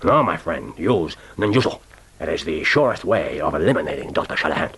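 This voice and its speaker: An actor playing a jovial, ruthless spymaster with an expansive, commanding, teasing manner in a radio drama. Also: male voice, very deep voice, sing-song, fairly quick, creaky, fairly bright tone, husky, heavily acted. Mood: firm, instructing. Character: jovial, ruthless Japanese male spymaster briefing an agent. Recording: orchestral film score behind the voice.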